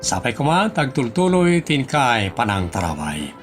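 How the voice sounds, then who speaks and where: Animated Ilocano male announcer. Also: mid-range voice, moderate pace, soft background music. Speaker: drama programme announcer. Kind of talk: scripted radio-style introduction.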